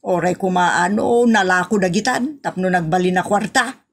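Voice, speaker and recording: A woman's voice: on the low side, normal speed. A woman with an Ilocano Filipino accent acting a nagging, exasperated rural wife and mother in a comedy audio drama, complaining in exclamations. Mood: reflective.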